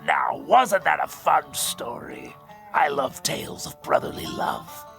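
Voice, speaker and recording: A man's voice: a little high, wildly swooping pitch, measured pace, vocal fry, heavily acted. A man playing a ghoulish gravedigger who narrates scripted horror tales in a theatrical, macabre, mock-sentimental way. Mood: mock-tender menace. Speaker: horror story host and narrator. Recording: music behind the voice.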